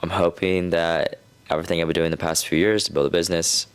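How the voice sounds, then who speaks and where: A man's voice: low-pitched, level tone, fast, clean tone. A man in his twenties speaking as a podcast guest, talking reflectively in casual chat. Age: 20s. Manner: reflective, thoughtful.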